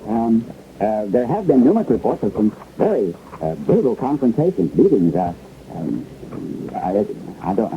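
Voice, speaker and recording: A flustered 1970s radio newscaster delivering an emergency bulletin. His pitch moves around a lot as he hesitates and searches for words. The sound is narrow and tinny, with a faint hiss behind it. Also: sing-song. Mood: shaken, halting. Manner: halting, hesitant, groping for words. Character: flustered male local radio newsman relaying grim emergency orders. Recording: tinny, hissy vintage radio broadcast.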